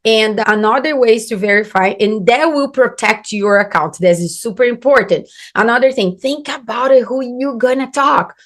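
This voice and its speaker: A woman's voice: fairly high pitch, normal speed. Brazilian-accented woman coaching on sales. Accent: Brazilian Portuguese. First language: Portuguese. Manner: earnest, emphatic, non-native grammar. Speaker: business coach. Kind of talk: instructional talk to camera.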